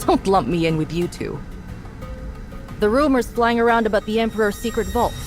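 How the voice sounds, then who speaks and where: A woman as a shrewd, animated master thief. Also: medium pitch, normal speed, lightly acted. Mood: challenging. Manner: sardonic, probing. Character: shrewd, proud, challenging female master thief. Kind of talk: dramatic video game cutscene acting. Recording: music behind the voice.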